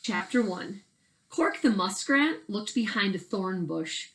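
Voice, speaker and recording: A middle-aged woman reads a children's story aloud at home. Her pitch moves widely, and she speaks conversationally, as if straight to young listeners. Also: lively intonation, takes it slowly, a bit bright, slight background noise, poor audio. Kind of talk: casual chat and storybook reading to children. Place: indoors in a bedroom at home.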